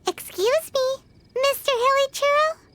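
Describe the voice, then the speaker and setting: Squeaky, very high little fairy companion. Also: female voice, slow pace, a bit bright, slight background noise, full character voice. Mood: hopeful. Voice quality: squeaky, childlike. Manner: exaggerated, blunt, chirpy. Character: tiny childlike floating fairy companion, cheeky and excitable. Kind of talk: video game character acting.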